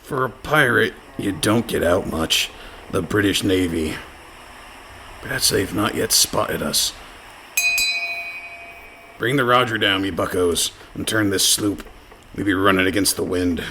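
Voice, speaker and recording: An actor playing a surly, bloodthirsty pirate with a sardonic, salty way of talking in a comedy radio play. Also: male voice, deep voice, unhurried, pauses often, pushed and bright, a little hiss or hum, full character voice, low-quality recording. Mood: eager, sardonic.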